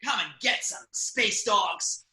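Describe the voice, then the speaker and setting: Casting: man as brash trigger-happy raccoon gunslinger, highish and very sharp, in an echoing room. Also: animated, takes it slowly, full character voice. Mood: battle taunting. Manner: brash, taunting, wheedling. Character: cocky trigger-happy talking raccoon mercenary. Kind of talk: amateur table read of a film script.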